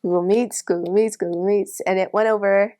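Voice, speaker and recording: A woman shares personal memories in a casual interview. Her voice sits at an average pitch, and she talks in an informal storytelling way. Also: fairly even intonation, fairly quick, warm tone.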